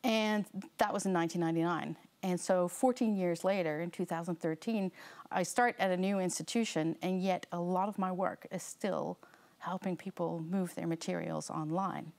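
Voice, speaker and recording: A woman in her forties, from the Netherlands, speaks to a seated audience on a studio stage in a slightly low voice. She talks in a loose, conversational way, full of ums and restarts, through what sounds like a lapel mic. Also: fairly even intonation, normal speed, gravelly, darkish tone. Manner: conversational, with frequent fillers and restarts. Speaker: guest speaker. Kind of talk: seminar talk to a live audience.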